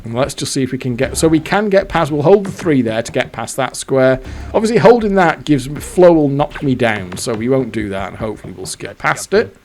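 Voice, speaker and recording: A man's voice: medium pitch, expressive, fairly quick, a little mellow. A man giving casual, exasperated commentary while playing a fruit machine, swearing now and then. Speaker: slot machine video host.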